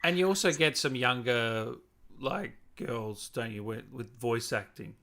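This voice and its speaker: A man's voice: medium pitch, measured pace, bright, forceful tone. A man hosting a casual interview, asking questions and reacting informally. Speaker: host, interviewer.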